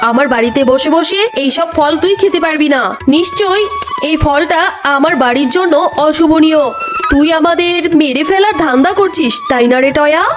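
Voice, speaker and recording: A woman's voice: sits high, normal speed, over music, lightly acted. A woman voicing a nagging, accusing mother fox, a cruel aunt in a children's cartoon story. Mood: suspicious, angry.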